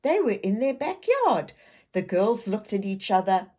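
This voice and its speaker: Female children's story reader, unhurried and expressive, speaking directly to young listeners. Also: medium pitch. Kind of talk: animated read-aloud storytelling for young children.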